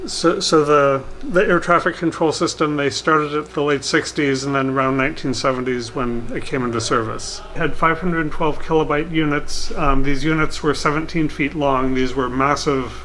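A middle-aged man giving an informal technical explanation in a halting, hesitant way. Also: on the high side, steady tone, a bit bright, rough recording. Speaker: guest expert. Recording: clear background room noise.

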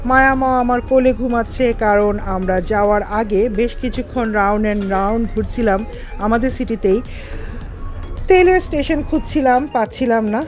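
A woman's voice: medium pitch, darkish tone. A woman in her thirties with a Bengali accent, chatting to viewers from a moving car while narrating her road trip. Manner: chatty, addresses viewers directly. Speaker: travel vlogger. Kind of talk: casual travel vlog narration.

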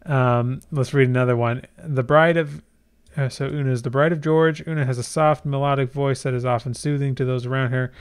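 A middle-aged man with a smooth, clear voice at an ordinary male pitch. He talks through a software tutorial in a casual, explanatory way, thinking aloud as he works. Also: fairly even intonation, brisk. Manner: conversational, explanatory, thinking aloud while typing. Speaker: tutorial host.

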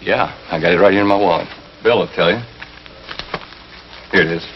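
A low, insistent American voice of a nervous suspect in a 1950s TV crime drama, acted by a man. He pleads defensively in plain words. The old recording is muffled with faint noise. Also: fairly quick, natural voice. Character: nervous, pleading male hit-and-run suspect. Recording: muffled, slightly noisy 1950s TV soundtrack.

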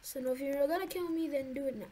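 A woman's voice: sits high, quick pace, warm tone, slight background noise. A woman giving nervous, jumpy commentary to her viewers while playing a horror game. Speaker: gaming YouTuber.

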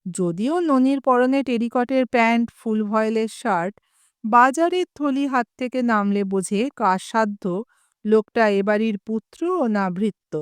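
Lady reading a comic story, bit high pitched, acts out the quotes a little. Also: measured pace, clean tone, dry recording, clean studio sound. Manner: expressive, lightly acts the quoted lines.

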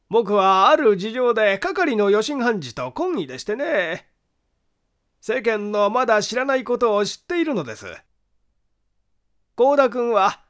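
A man voicing a grave, secretive man who calmly argues a friend's innocence in a polite, deliberate way, in an audiobook mystery story. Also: extremely high pitch, clear, resonant tone, voice pushed up.

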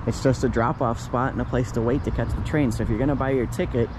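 A man's voice: mid-range voice, fairly even intonation, quick pace. A man in his forties giving a casual, upbeat walking tour of a small outdoor train platform, filmed on a handheld action camera. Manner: chatty, upbeat, narrates as he films. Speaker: travel vlogger. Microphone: action camera held at arm's length. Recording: loud wind and outdoor noise.

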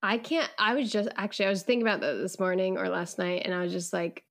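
A woman chatting casually as a podcast co-host, reacting with quick interjections. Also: mid-range voice, creaky, a bit bright. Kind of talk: casual chat.

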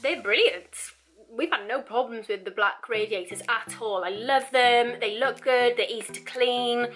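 A woman's voice: fairly high pitch, moderate pace, fairly bright tone, faint music behind. A young woman, answering viewer questions about her home renovation in a chatty, rambling vlog. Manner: chatty, upbeat, rambling with restarts. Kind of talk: casual Q&A vlog. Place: indoors at home, virtual backdrops.

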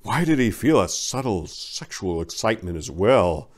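A man's voice: fairly low pitch, very animated, normal speed. Theatrical man with swooping delivery. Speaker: dramatic reader, channel host. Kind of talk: theatrical scripted story reading. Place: small indoor room lined with bookshelves. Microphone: lapel mic.

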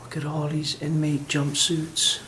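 A man casually narrating a walkthrough of an empty jail, pointing things out in a low-key, conversational way. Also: a little slow. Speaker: urban explorer host. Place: large empty jail building indoors. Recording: camera audio with steady background noise.

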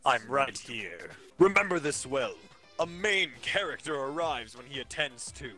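A man playing a pompous, theatrical young dark overlord from a video game, grandiose and drawn-out. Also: mid-range voice, sing-song, faint music behind. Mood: grandly self-important.

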